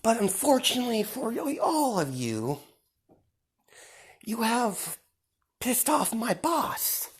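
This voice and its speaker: A woman voicing a gleeful, sadistic young male villain in a fan story, with a mocking, drawn-out, often whispered delivery. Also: a little high, very animated, husky, voice pushed up, heavily acted. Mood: mocking menace.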